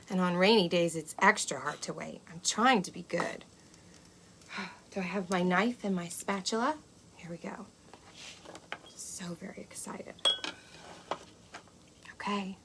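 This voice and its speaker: A woman playing an excitable, gentle unicorn who presents a children's cooking show, talking to young kids with wonder and breathy asides. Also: on the low side. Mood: delighted, eager. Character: excitable, gentle, impatient female unicorn hosting kids' show. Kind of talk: children's cooking demonstration to camera. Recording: clear steady background noise.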